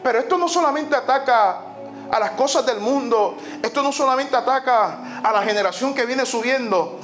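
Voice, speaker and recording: A high-pitched man preaching, pressing his points by repeating phrases. He slips English "so" into his sentences. Soft music plays faintly behind him in the church. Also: normal speed. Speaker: pastor. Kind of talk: sermon. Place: church sanctuary.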